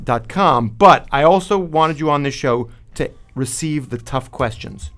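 Lively higher-voiced radio host, man in his fifties. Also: unhurried. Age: 50s. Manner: joking, wry asides. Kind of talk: bantering radio talk and live ad reads.

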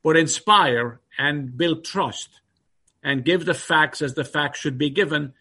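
A man with a New York accent carrying traces of his Irish upbringing, answering interview questions in a measured, steady way. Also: on the high side, slightly rough. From Ireland. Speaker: guest executive.

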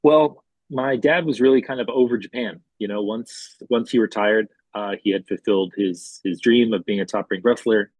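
A man in his forties from Hawaii tells his life story as an interview guest. His low, husky voice stays relaxed and chatty, with easy fillers, and the recording is close and dry. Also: moderate pace, no room sound, low-quality recording. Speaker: guest, restaurant executive. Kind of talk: conversational storytelling.

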